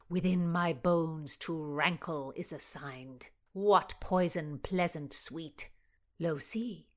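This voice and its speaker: A woman doing a boastful young nobleman. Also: low voice. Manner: declaiming verse with force.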